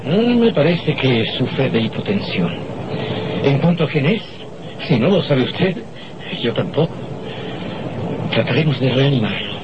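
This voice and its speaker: An actor playing a matter-of-fact town official in an old radio drama, formal and a little hesitant. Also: male voice, medium pitch, very animated, a little slow, long pauses. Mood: sober, uncertain. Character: matter-of-fact male town doctor and police captain. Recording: muffled, very noisy old radio recording.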